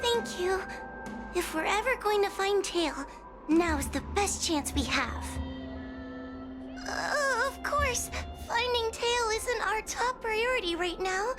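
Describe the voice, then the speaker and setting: A woman's voice: very high-pitched, lively intonation, slow pace, over loud music, full character voice. A woman voicing a timid, anxious young fox girl in a video game, hesitant and nervous. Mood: wistful, anxious.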